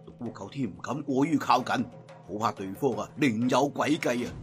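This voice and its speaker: A man's voice: medium pitch, normal speed, soft background music. A man with a Cantonese accent narrating martial-arts tales as a traditional storyteller, theatrical and emphatic at sentence endings.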